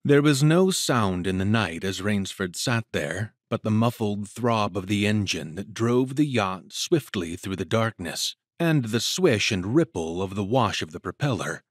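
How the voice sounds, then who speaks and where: A man narrating a dramatic story from a script in a measured, literary and expressive manner. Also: lowish voice, no echo, studio quality.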